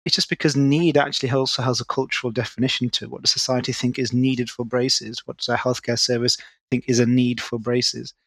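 A man, a guest orthodontist explaining his field in a podcast interview, articulate and quick, with restarts. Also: mid-range voice, flat delivery, a bit bright, husky, no room sound. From Manchester, England. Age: 30s.

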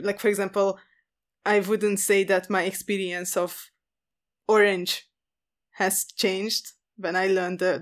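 Chatty woman in an academic discussion. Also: medium pitch. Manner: chatty, many fillers and restarts. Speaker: podcast co-host.

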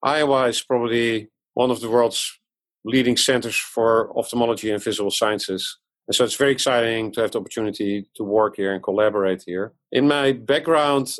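Dutch-accented man explaining thoughtfully. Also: medium pitch. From Netherlands. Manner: thoughtful, explanatory, with frequent restarts. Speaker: guest, eye surgeon and company founder. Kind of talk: podcast interview answers.

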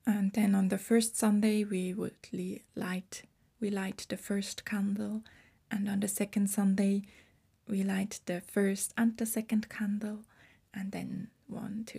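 A woman's voice: mid-range voice, gravelly, warm tone, husky. A woman in her twenties hosting her own podcast, explaining things carefully and correcting her wording as she goes. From Switzerland. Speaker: podcast host.

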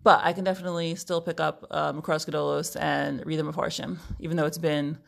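A woman's voice: sits low, flat delivery, brisk, creaky. A woman, chatting casually in a playful, wry, articulate way as a podcast co-host. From Brooklyn, New York, United States. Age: 30s. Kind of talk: casual chat.

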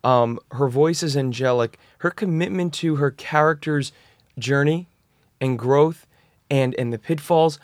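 A man who is a guest singer talks in an earnest, reflective way in a studio chat. His voice sits a little high for a man, and the sound is dry and close. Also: a little slow, no echo. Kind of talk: casual chat.

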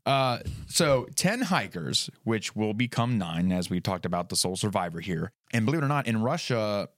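Joking low-voiced man telling a mystery. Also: very animated, moderate pace, dry recording, clean studio sound. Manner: jokey, swears, drops into dramatic narration. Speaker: podcast co-host telling the case. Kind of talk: casual chat, reading a scripted story opening.

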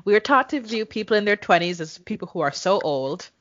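A woman's voice: mid-range voice, animated, brisk, a bit bright, no room sound, lo-fi sound. A woman, co-hosting a casual, candid chat and laughing often. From Jamaica. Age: 30s. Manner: candid, laughing, frequent swearing. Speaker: podcast co-host.

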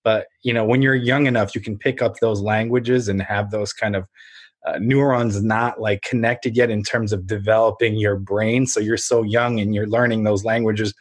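A man in his thirties, a podcast guest, talking casually in an articulate, steady, explanatory way. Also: fairly low pitch, normal speed. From Ukraine, raised in the United States from age five. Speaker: podcast guest, marketing agency owner.

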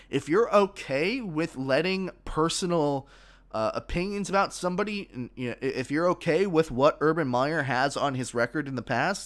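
A man's voice: fairly high pitch, a bit bright. Guy doing a solo sports podcast, bit congested, opinionated, lots of um and restarts. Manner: opinionated, many restarts and fillers. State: slightly congested, frog in throat.

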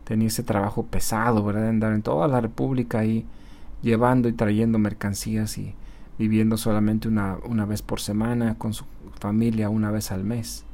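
A man who markets on social media, giving a casual motivational talk to his channel's viewers. He speaks conversationally, often checking in with tag questions and pausing on hesitation fillers. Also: lowish voice, little pitch movement, warm tone.

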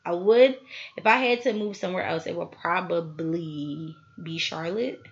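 A woman's voice: fairly low pitch, unhurried, highs cut off. A woman, hosting a casual solo podcast, chatty and full of asides to her listeners. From Philadelphia, USA. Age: 20s. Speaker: podcast host.

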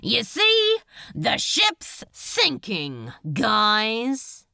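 A woman's voice: medium pitch, huge pitch swings, takes it slowly, creaky, no room sound, clean studio sound, heavily acted. A woman voicing a mischievous, casual male troublemaker in an audiobook, with a showy, mock-apologetic manner. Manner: casual, mock-apologetic, loud, drawn to showmanship. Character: brash, breezy, mischievous male troublemaker addressing a crowd. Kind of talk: acted audiobook dialogue.

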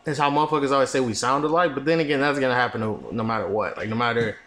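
A man's voice: medium pitch, very fast, a bit bright, reverberant. A young man co-hosting a podcast, casual and profane, joking and laughing often. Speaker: podcast co-host. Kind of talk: casual chat.

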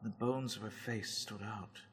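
A man's voice: fairly low pitch, fairly even intonation, lightly acted. A man playing an earnest young doctor narrating in a radio drama. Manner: measured storytelling narration. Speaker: Dr. Seward. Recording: long hall reverb.

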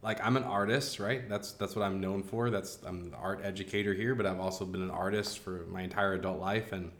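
A man who works as an art educator, chatting casually and quickly, with lots of "like" fillers. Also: low voice, fairly even intonation, a bit bright, reverberant. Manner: fast, chatty, many "like" fillers. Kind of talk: casual conversation.

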